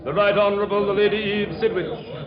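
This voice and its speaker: An actor playing a stiff, formal butler announcing guests in 1940s radio style. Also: male voice, sits high, quick pace, background noise, close to an everyday voice. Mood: pompous, formal. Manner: formal, monotone declaiming. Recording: muffled, echoey 1940s radio recording.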